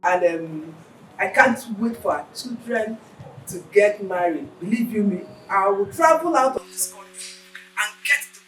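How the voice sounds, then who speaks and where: Lively Nigerian mother, echoey sound. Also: mid-range voice, unhurried, slight background noise. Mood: animated. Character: scheming middle-aged mother, the queen's persuasive confidante. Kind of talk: Nollywood film acting. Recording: echoey room, distant mic, music behind.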